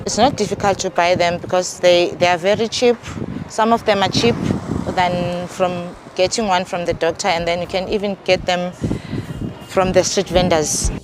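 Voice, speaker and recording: A woman's voice: lowish voice, steady tone, moderate pace. A woman giving a matter-of-fact interview answer outdoors by a road. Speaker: street interviewee. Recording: outdoor street recording with traffic noise.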